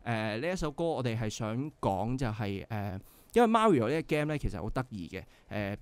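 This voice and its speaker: A man's voice: medium pitch, very animated, a little fast, smooth, no room sound. A young man with a Hong Kong Cantonese accent, a band member guest on a radio show, explaining earnestly with many filler sounds. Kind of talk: casual radio interview. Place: radio studio.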